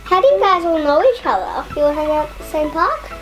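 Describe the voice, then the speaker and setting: High, animated young girl hosting. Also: fairly quick, soft background music, low-quality recording. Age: child. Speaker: puppet show host. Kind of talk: playful interviewing of puppets.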